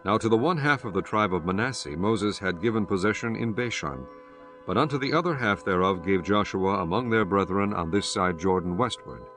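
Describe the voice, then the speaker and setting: A man narrating scripture for an audiobook in a measured, solemn way. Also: lowish voice, animated, fairly bright tone, soft background music.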